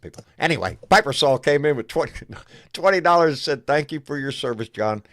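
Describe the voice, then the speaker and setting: A man's voice: on the high side, lively intonation, a little fast, no echo, studio quality. Folksy man hosting a live stream. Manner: folksy, drawling, warm thanks to donors. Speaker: host. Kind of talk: casual live-stream chat.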